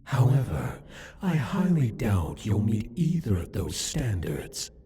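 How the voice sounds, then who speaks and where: Male actor as demon king, ASMR roleplay: low-pitched, very breathy, haughty, possessive, mocking, savoring each deliberate line. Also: wildly swooping pitch, warm tone, heavily acted. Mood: cold, haughty. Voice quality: breathy, husky. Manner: taunting, condescending, deliberate, theatrical. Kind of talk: ASMR roleplay, addressed to the listener.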